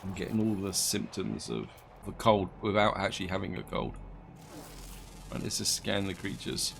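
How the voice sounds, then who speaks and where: A man casually commenting on his gameplay as he plays, thinking aloud and correcting himself, his voice slightly croaky from allergies. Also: low voice, very animated, moderate pace, background noise. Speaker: gaming video host.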